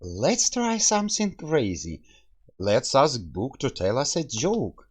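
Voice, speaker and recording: A husky-voiced man comments casually on a game he is reviewing. His speech is slow and comes in short thinking-aloud reactions, with very wide swings in pitch. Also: wildly swooping pitch.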